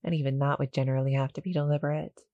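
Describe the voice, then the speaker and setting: A woman voicing a small, sweet female cuddle monster in an intimate ASMR roleplay, soft and breathy, often near a whisper, gentle and a little hesitant. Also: extremely low pitch, fairly even intonation, very fast, no room sound, highs cut off. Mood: gently explaining. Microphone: close, dry studio-style mic.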